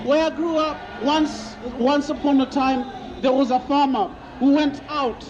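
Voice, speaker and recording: A man's voice: very high-pitched, fairly even intonation, a little slow. A man from Kenya preaching outdoors in a busy city square, repeating questions to passers-by and quoting scripture. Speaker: street preacher. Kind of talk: open-air street preaching. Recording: lo-fi outdoor recording with crowd noise.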